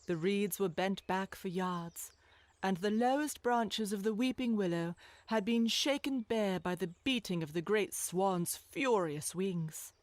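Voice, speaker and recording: Casting: woman to narrate an animal tale, medium pitch, storybook delivery, dry studio-like sound. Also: a little slow, no room sound.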